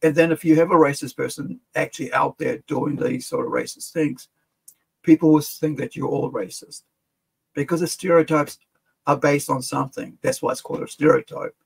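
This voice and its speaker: A middle-aged man from Fiji whose accent sounds American, talking casually and animatedly as a streamer reacting to a clip. His voice is gravelly and husky, and he rambles through restarts as he makes his point from a desk in a small room. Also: a little high, steady tone, moderate pace, rough recording. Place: small room with collectible shelves, gaming chair.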